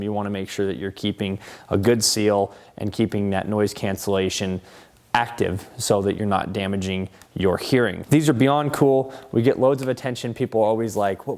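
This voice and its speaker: Guy w a lowish voice doing a casual gear review for his car channel, upbeat and into it. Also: darkish tone. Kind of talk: casual product review to camera.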